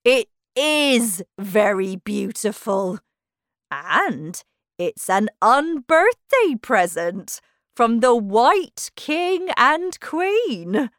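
Woman playing Humpty Dumpty, a loud, pompous talking egg. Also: on the high side, big pitch swings, no echo, clean studio sound, voice pushed up, heavily acted. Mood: boastful, pompous. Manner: indignant, slow, emphatic, self-important. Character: pompous, touchy, boastful male talking egg.

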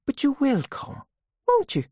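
Sharp, challenging young woman for an audiobook, acted by a narrator: raised pitch, lively swings, pushy questions. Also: unhurried, dry recording, studio quality, full character voice. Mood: friendly, eager. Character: sharp, teasing young Irish nationalist woman.

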